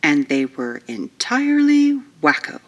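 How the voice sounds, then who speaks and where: A woman's voice: sits low, very animated, unhurried, no room sound, dull audio, full character voice. A woman voicing a sarcastic, skeptical young woman stranded on an alien planet, in flippant audiobook dialogue. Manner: flippant, casual.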